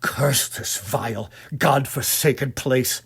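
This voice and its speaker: A man voicing a nervous character lost in the dark for a story, muttering hesitantly with uneasy bravado. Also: mid-range voice, slow, gravelly, breathy edge, exaggerated voice. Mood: irritated muttering. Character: nervous man lost in darkness, forcing himself calm.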